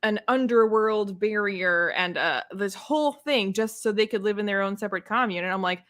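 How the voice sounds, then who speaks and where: Female co-host for casual book chat. Medium pitch, opinionated, animated delivery with sarcastic asides. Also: moderate pace. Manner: animated, exasperated, sarcastic, acts out imagined quotes.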